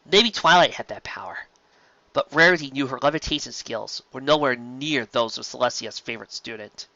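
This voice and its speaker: An expressive narrator reading scripted story fiction into a close microphone, on a slightly dull home recording. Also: mid-range voice, normal speed, dry recording. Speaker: fan fiction audiobook narrator.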